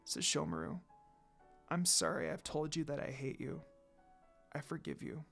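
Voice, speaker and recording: A man's voice: on the high side, big pitch swings, moderate pace, vocal fry, a bit bright, exaggerated voice. Man voicing a tender young heroine over music. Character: young woman, affectionate, forgiving romantic heroine. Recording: music under the voice.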